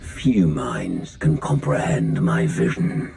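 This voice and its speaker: A man playing an imprisoned dark-lord villain in a video game, grand, menacing and contemptuous, speaking in slow, solemn declarations with a deep, gravelly voice. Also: big pitch swings, faint music behind, heavily acted. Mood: cold disdain.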